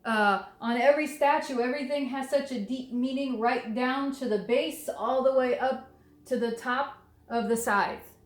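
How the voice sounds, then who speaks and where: A woman's voice: high-pitched, normal speed, reverberant. A woman talking casually to camera, chatty and rambling, full of fillers and restarts. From southern Texas near the Mexican border. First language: English. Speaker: devotional video creator.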